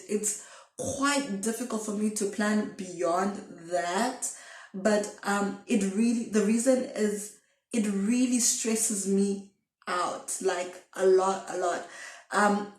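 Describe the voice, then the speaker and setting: An echoey room surrounds a young woman with a mid-pitched voice. She talks casually to camera in a confessional vlog style, thinking aloud and wandering from one thought to the next. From Zimbabwe. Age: twenties. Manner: rambling, thinking aloud, self-questioning. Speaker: video blogger.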